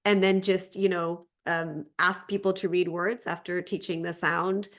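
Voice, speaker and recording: A woman's voice: fairly low pitch, level tone, unhurried, fairly bright tone. A woman speaking as a language teacher and interview guest, explaining conversationally and often circling back over her phrasing.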